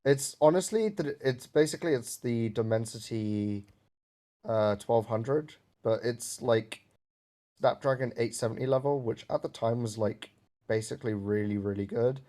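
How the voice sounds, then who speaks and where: A man chatting casually about phones and software on a voice call, rambling with fillers and some swearing. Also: medium pitch, normal speed. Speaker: jailbreak developer on a stream. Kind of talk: casual tech chat.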